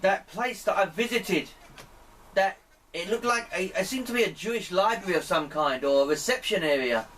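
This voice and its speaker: A man speaking in deliberate, pleading short phrases, putting questions to a spirit as an amateur experimenter. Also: sits high, animated, fairly bright tone. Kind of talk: slow spoken questions addressed to a spirit. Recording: distant mic, clear background noise.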